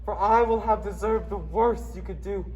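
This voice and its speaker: A man's voice: high voice, normal speed, dark tone, close to an everyday voice, rough recording. An ardent young seafaring hero played by a young man, speaking in earnest, declamatory theatre style. It is a live stage-play performance. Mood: ardent vow. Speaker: student actor in a school competition play.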